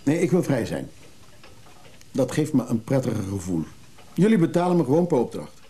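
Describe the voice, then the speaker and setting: An actor playing a restless, evasive recovering drinker in a radio play, hesitant and confiding. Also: male voice, mid-range voice, sing-song, normal speed, mellow, slightly rough. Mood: firm. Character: restless recovering drinker, evasive man who craves freedom. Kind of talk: naturalistic Dutch radio drama acting.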